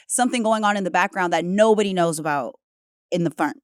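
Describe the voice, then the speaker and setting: A woman on a podcast, joining the chat in an engaged, reflective way and quick to agree. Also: on the low side, dry recording, clean studio sound. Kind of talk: casual chat.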